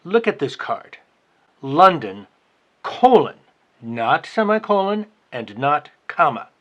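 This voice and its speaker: Guy acting a fussy nitpicking older boss in a book drama, highish voice, really swoopy delivery. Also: fairly bright tone. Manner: fussy, complaining, precise. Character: fussy, critical, petulant older male library boss. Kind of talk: dramatized reading of a novel.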